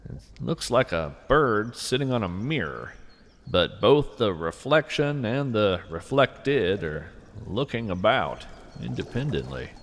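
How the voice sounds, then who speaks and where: A man's voice: fairly low pitch, big pitch swings, measured pace, a bit bright, exaggerated voice. A man playing a whimsical, earnest naturalist who muses with folksy wonder in a first-person field-journal audio drama. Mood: hushed wonder. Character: whimsical, earnest male naturalist who hunts strange cryptids. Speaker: podcast host and narrator.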